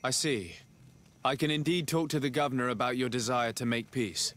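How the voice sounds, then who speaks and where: An actor playing a polite, earnest young diplomat in a video game, speaking formally and with composure. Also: male voice, medium pitch, steady tone, moderate pace, a bit bright, close to an everyday voice. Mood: composed, formal. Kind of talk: video game character dialogue.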